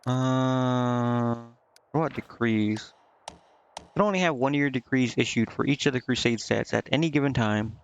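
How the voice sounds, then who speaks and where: A man streaming a game, casually reading on-screen text aloud and thinking out loud in a halting, puzzled mutter. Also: medium pitch, a little hiss or hum. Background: game music.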